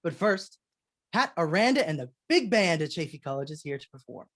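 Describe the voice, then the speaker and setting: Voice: medium pitch, sing-song, brisk, a bit bright. A performer playing a genial 1940s radio show announcer who introduces the acts. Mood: genial, upbeat.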